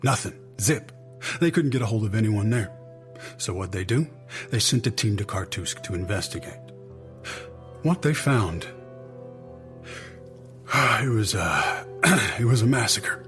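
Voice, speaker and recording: A man's voice: on the low side, expressive, measured pace, long pauses, a bit bright, faint music behind. An actor playing a weary, skeptical male intelligence chief in an audio drama, clipped and sardonic with heavy sighs. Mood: grim, emphatic. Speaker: senior agency official.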